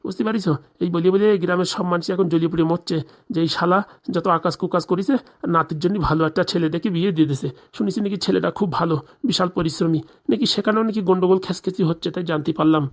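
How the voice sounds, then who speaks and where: A man's voice: high-pitched, fairly even intonation, racing. A man voicing an indignant, scheming middle-aged villager in a comedy cartoon, grumbling and swearing in rustic colloquial speech. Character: indignant, scheming forty-year-old village man, jilted husband.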